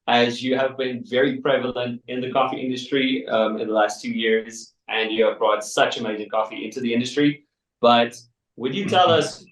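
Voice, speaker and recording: A man co-hosting a coffee podcast, talking in a measured way with fillers. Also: mid-range voice. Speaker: podcast co-host. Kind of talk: casual interview talk. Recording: echoey room, from a distance.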